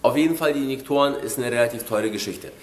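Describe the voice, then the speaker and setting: A man's voice: medium pitch, quick pace, slight background noise. Stuffy-nosed man in an echoey workshop. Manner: colloquial, direct, matter-of-fact. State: slightly congested with a cold. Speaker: car workshop mechanic presenting. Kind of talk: informal explanatory talk to camera. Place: car repair workshop, indoors.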